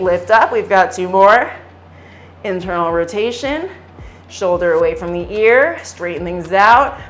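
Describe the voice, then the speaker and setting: A woman fitness instructor giving calm step-by-step exercise cues, her voice slightly hoarse from singing at a concert. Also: on the high side. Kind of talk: guided workout cueing.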